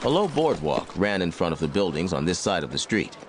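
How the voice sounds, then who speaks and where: A man's voice: low-pitched, big pitch swings, fairly quick, noisy background. A man with an American accent reading scripted narration for a Western audiobook.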